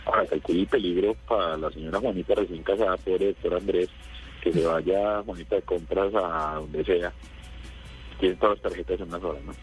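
Deep, fast-talking man. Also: fairly even intonation, soft background music, rough recording. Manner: quick, informal, restarts. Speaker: regular radio contributor. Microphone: phone line.

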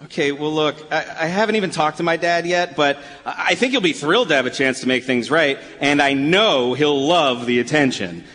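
Earnest, hesitant son, lightly high voice. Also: male voice, fairly bright tone. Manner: halting, sheepish, repeats himself. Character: earnest, hesitant grown son organizing a charity reunion. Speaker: actor in a live radio play. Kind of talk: live radio comedy-drama acting. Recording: echoey hall, live stage performance.

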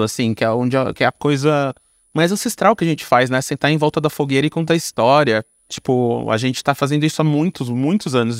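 A man with a Brazilian Portuguese accent and a slightly high voice, recorded dry with very little room sound. He talks in a casual interview style, slangy and informal, stringing thoughts together and often adding little tag questions. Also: no room sound. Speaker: podcast guest, audio storyteller and creator. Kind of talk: casual interview talk about own work.

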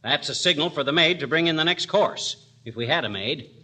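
An actor playing a bossy, wisecracking husband in a 1940s radio comedy, brisk, slangy and sarcastic. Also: male voice, fairly high pitch, sharp tone. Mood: bossy, exasperated. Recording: old radio broadcast before a studio audience.

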